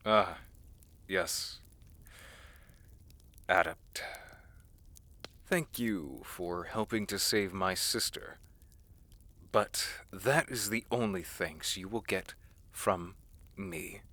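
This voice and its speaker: A man playing a bitter, protective young prince scarred by war, in an audio drama, speaking with deliberate intensity. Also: sits low, sharp tone, heavily acted. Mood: grudging, cold. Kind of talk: audio roleplay drama acting.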